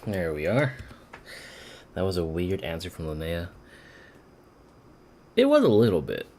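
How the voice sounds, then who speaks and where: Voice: low voice, big pitch swings, a little slow, dark tone, a little hiss or hum. A game streamer giving casual, rambling commentary over a playthrough, swearing freely.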